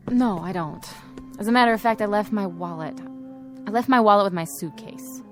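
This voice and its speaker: A woman performs a brassy dancer in a radio play. She sounds streetwise and unbowed, in an average register with soft music underneath. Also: medium pitch, moderate pace, close to an everyday voice. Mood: guarded. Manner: blunt, streetwise. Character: brassy, defiant female nightclub dancer.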